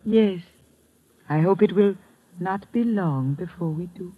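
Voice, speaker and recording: A woman's voice: lowish voice, unhurried, husky. An actress playing a gracious, demure lady with polite, careful, formal diction in an old radio drama. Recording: dull vintage radio recording.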